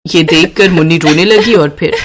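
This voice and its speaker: A woman's voice: lowish voice, normal speed, a little hiss or hum. A woman narrating a scripted moral story for a cartoon.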